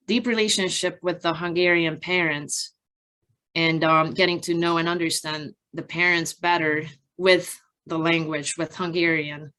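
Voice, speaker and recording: Woman presenting research, slightly low voice. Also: pushed and bright. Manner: measured, halting, explanatory, with frequent pauses. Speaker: academic researcher presenting study findings. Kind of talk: conference research presentation, partly improvised from slides.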